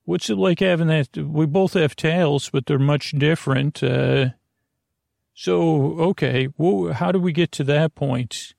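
A man hosting a sleep podcast in a meandering, halting monologue, drifting into digressions and whimsical imagined exchanges. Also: fairly high pitch, gravelly, darkish tone, no echo, studio quality. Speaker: podcast host.

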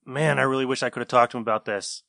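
A man's voice: medium pitch, fairly even intonation, rapid-fire, creaky, sharp tone, no room sound, studio quality. A man hosting a podcast, talking casually and offhandedly to his listeners. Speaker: podcast host. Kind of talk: casual chat.

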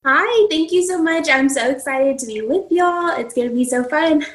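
A woman's voice: high-pitched, normal speed. Bubbly young woman in an echoey room. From New Mexico, USA. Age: 20s. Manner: bubbly, giggly, with many fillers. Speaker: guest. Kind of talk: casual interview storytelling.

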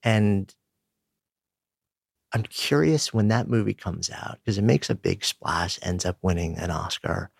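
A man's voice: low voice, measured pace, vocal fry, slightly rough, dry recording, clean studio sound. A man hosting an interview, asking thoughtful, probing questions. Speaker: interview host. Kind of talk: interview conversation.

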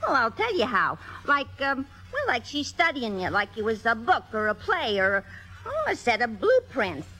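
A curt, suspicious, wisecracking maid in vintage radio drama. Her voice is bright and lively, full of swoops. Faint music lies behind the old broadcast. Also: a little high, moderate pace, exaggerated voice, poor audio. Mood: blunt, suspicious. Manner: folksy, curt, sardonic. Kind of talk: 1950s radio drama acting.

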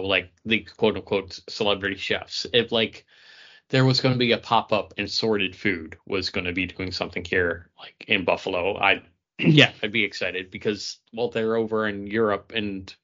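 A man's voice: fairly low pitch, moderate pace, pushed and bright. An American man chatting casually as a podcast co-host. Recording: dull, lo-fi audio.

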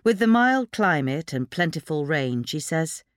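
A woman reading a scripted magazine feature aloud in a measured, expressive storytelling way. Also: deep voice, dry recording, studio quality. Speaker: narrator reading a magazine article. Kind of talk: scripted narration of a gardening feature.

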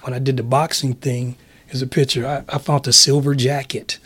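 A man's voice: mid-range voice, flat delivery, moderate pace, a bit bright. A middle-aged man, chatting casually in a laid-back, slangy way as an interview guest. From San Francisco, USA. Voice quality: raspy. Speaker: interview guest, public address announcer.

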